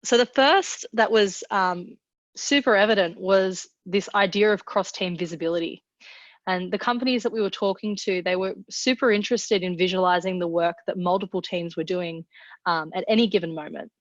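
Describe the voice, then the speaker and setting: A woman's voice: on the low side, moderate pace, dry recording. An Australian woman presents on a webinar in a mid-range voice, upbeat and conversational with small restarts. The sound is dull and dry, like a web-call line. She speaks as a product manager guest.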